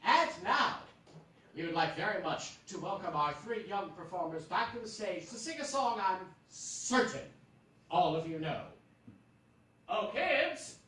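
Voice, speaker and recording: A woman's voice: mid-range voice, expressive, measured pace, a bit bright. A woman hosting a live stage show, making announcements in a theatrical, playful, emphatic manner. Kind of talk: live promotional announcement to an audience. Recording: echoey theater, distant mic, noisy.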